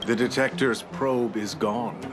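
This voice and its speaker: A man's voice: mid-range voice, steady tone, a little slow, over music, close to an everyday voice. A man voicing a shrewd, greedy older retired appraiser in a video game dub, crafty and wheedling.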